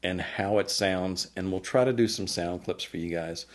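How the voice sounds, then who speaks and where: A man speaking as a loudspeaker designer, explaining his products in an informal, colloquial and enthusiastic way. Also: deep voice, moderate pace. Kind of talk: informal product presentation to camera.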